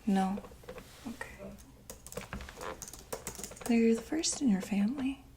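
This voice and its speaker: A woman playing a calm doctor for monsters, asking gentle, patient questions in a soft unvoiced whisper for an ASMR roleplay. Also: a little high, takes it slowly, slight background noise.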